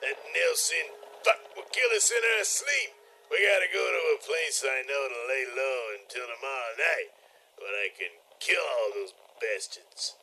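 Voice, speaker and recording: A man's voice: mid-range voice, big pitch swings, normal speed, vocal fry, sharp tone, heavily acted, rough recording. A man playing a crude, bullying vampire in a comic audio play, with a brash, sneering, streetwise delivery. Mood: irritated, menacing. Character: crude, bullying male vampire, foul-mouthed and scheming.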